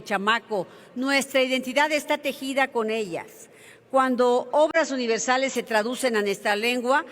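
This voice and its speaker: A woman senator from Mexico with a Mexican accent, giving a formal floor speech in an earnest, emphatic oratorical manner. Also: on the high side. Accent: Mexican Spanish. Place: large legislative chamber. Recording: reverberant legislative chamber.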